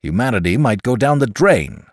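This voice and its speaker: A man's voice: medium pitch, very animated, moderate pace, no echo, studio quality, pitched above the performer's own voice. A man voicing a tired, embattled man who answers abruptly in an audiobook story. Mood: weary, defensive. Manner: weary, abrupt. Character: tired, embattled man arguing with his friend.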